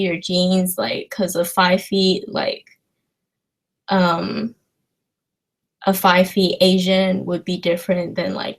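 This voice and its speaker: A woman's voice: mid-range voice, creaky. A young woman from China chatting informally as a livestream host, rambling and hesitant as she addresses her viewers. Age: young adult. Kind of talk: casual livestream chat answering viewer questions.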